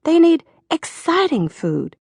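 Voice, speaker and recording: A woman's voice: very high voice, very animated, slow pace, creaky, darkish tone, no echo, clean studio sound, pitched above the performer's own voice, heavily acted. A woman doing a moaning Southern head waiter. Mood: exasperated. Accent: Georgia (US South). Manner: moaning, exasperated. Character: middle-aged man, complaining head waiter.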